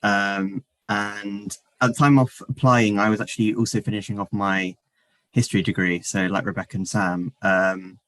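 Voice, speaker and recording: A young man chatting casually on a panel, relaxed and hesitant, with many fillers. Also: lowish voice, moderate pace, poor audio. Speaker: alumnus of a documentary training scheme.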